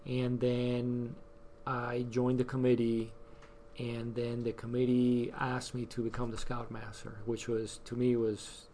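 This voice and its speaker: A middle-aged man from Cuba speaks in a casual interview, his voice held in a narrow, even pitch. He pauses and restarts often, leaning on fillers. A faint hiss runs under the recording. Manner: hesitant, many fillers and restarts. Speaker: guest, scouting volunteer.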